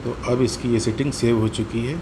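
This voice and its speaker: A man's voice: medium pitch, steady tone, a little fast, noisy background. A man with an Indian accent explaining a hands-on product demonstration step by step, repeating his points. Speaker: host of a motorcycle gear tutorial.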